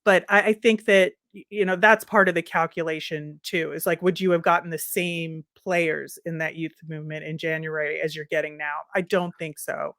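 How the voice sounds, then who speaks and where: A woman co-hosting a sports podcast, chatting casually through recaps in a measured, conversational way. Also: deep voice, steady tone, fairly bright tone, no room sound, studio quality. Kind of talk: casual hockey recap chat.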